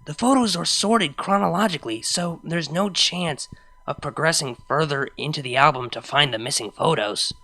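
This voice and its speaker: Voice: medium pitch, normal speed, sharp tone. A reader voicing an anxious, lovestruck young female pony DJ in a hesitant, introspective first-person fan fiction monologue. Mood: uncertain.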